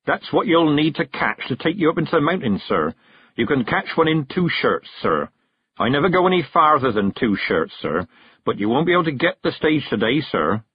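Man playing a meek, husky country carter. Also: a little high, very animated, moderate pace, no echo, dull audio, pitched above the performer's own voice. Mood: reproachful, apologetic. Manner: hesitant, deferential, apologetic.

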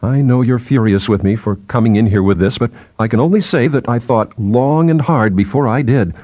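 A man's voice: mid-range voice, moderate pace, mellow, no echo, lightly acted. Man acting a junior male scientist, nervous, chattering, deferential, earnest, audiobook character, dry sound. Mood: anxious, apologetic. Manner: chattering, hesitant.

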